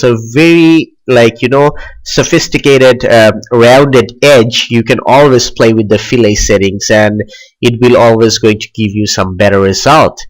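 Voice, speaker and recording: Young man hosting a casual software tutorial. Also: mid-range voice, low-quality recording. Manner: loose, with many fillers like "like" and "uh".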